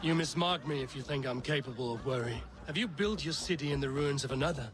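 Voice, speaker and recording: A man's voice: mid-range voice, fast talker, close to an everyday voice. A man playing a stoic, blunt Norse warrior in a video game, speaking in terse, dry lines. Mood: measured, blunt. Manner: terse, plain-spoken, dry.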